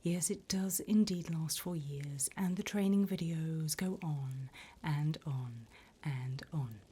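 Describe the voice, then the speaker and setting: A woman voicing a politely bureaucratic, wickedly encouraging demon recruiter in a breathy, unhurried ASMR whisper. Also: low voice, warm tone, heavily acted. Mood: dryly official. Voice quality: breathy whisper, mostly unvoiced. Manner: unhurried, polite, dryly businesslike about torment.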